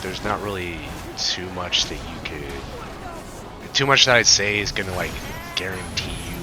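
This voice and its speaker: A man with a voice raspy from a cold, rambling in a frustrated gameplay commentary rant with many fillers. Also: deep voice. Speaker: gaming video creator.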